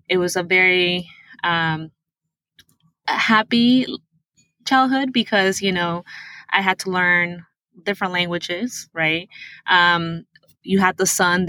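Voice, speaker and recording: A woman's voice: on the low side, bright, forceful tone, no echo. A woman from Peru whose first language is Spanish, a tech guest telling her life story earnestly and with animation. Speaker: guest, tech product manager. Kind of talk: interview answers, personal storytelling.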